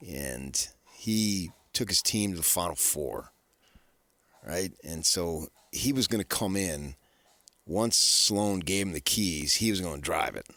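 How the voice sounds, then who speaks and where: A low-voiced man giving unscripted sports talk as a radio host. He circles his points with repeated rhetorical questions, and the recording is dry and close. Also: a bit bright, dry recording.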